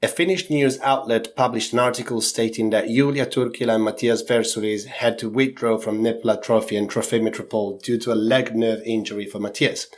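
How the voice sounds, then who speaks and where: A man's voice: mid-range voice, steady tone, fairly quick, hardly any pauses. A man with a sore throat co-hosting a podcast, reading skating news in casual chat with some hedging fillers. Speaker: podcast co-host.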